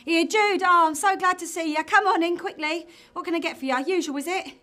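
An actress playing a weary, sweary, sarcastic barmaid in a dark comedy audio drama, blunt and dry. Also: female voice, very high voice, brisk. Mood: welcoming.

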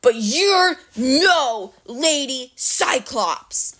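Woman voicing a slow, bellowing cyclops monster. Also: on the high side, very animated, a bit bright, heavily acted. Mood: suspicious, angry. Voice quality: bellowing, growling. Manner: slow, broken-grammar speech. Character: huge slow-witted male cyclops monster, menacing, greedy. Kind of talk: audiobook character voice.

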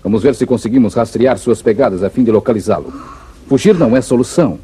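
Dark, husky-voiced Brazilian hero. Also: male voice, mid-range voice, fast. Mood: concerned, determined. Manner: calm, earnest, determined. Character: resolute, upright hero of the Brazilian backlands. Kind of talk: 1950s Brazilian radio drama acting.

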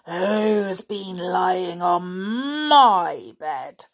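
A woman voicing a big, angry father bear from a storybook, with a slow, forceful growl. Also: fairly low pitch, expressive, exaggerated voice. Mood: indignant.

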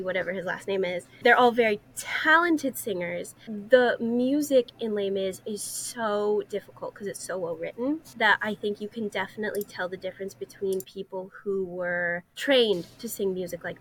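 Young female podcast guest, average pitch, casual and opinionated discussion. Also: fairly bright tone, poor audio. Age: young adult.

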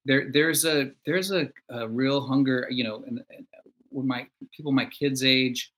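A man's voice: mid-range voice, measured pace, a bit bright. A man being interviewed as a songwriter guest, talking in a reflective, conversational way. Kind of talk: casual conversation.

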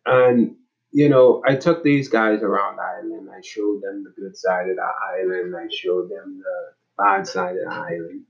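A Bahamian man in his forties, a nonprofit director introducing himself in a casual, halting way, often restarting and repeating words. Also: low voice, fairly even intonation, gravelly, lo-fi sound. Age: 40s.

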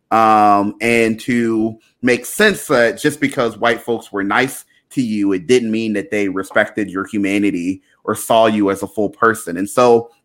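A man in his thirties, a pastor from Liberia raised in Louisiana, speaking as an enthusiastic podcast guest who often stops to fill pauses with uh and um. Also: lowish voice. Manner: warm, enthusiastic, halting with many fillers. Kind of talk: podcast interview.

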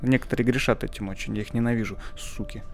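A man's voice: mid-range voice, fairly even intonation, quick pace, faint music behind. A man hosting a podcast, chatting casually about books and often searching for his words. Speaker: podcast host. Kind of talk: casual book chat.

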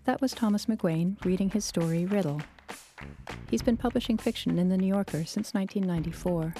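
A woman's voice: medium pitch, a little fast, dark tone. A woman hosting a fiction podcast, reading a scripted closing announcement. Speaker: podcast host. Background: faint music.

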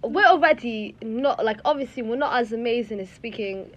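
A woman's voice: fairly high pitch, moderate pace, a bit bright, dull audio. A young woman, leading a casual group discussion and rephrasing as she goes. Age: young adult. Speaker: discussion host. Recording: clear background noise and room ambience.

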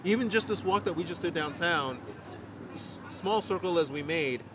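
A man's voice: on the high side, lively intonation, moderate pace. Reflective native New Yorker man outdoors. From New York. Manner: reflective, enthusiastic. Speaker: podcast co-host. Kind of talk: casual chat. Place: outdoors at a city restaurant. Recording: faint street traffic noise behind.

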